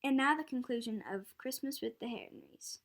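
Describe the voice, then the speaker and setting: A woman's voice: sits high, normal speed. A young girl reading scripted narration for a school radio play. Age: child, about 9 or 10. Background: loud chiming music.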